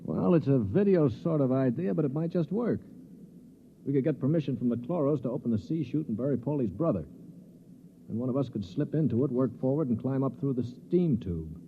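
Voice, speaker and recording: An actor playing a cynical, sneering survivor with a taunting, mock-courteous manner in 1950s radio drama style. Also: male voice, mid-range voice, animated, fairly quick, slightly rough. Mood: musing. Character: cynical sneering man bent on staying alive. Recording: muffled, noisy 1950s radio recording.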